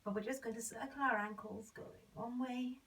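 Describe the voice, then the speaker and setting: Hoarse woman with a cold, cueing exercises. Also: fairly high pitch, moderate pace. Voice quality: hoarse, often whispery. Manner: calm step-by-step cueing, counting beats. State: has a cold, voice nearly gone. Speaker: belly dance teacher. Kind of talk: instructing an exercise warm-up. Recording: voice a bit far from the mic.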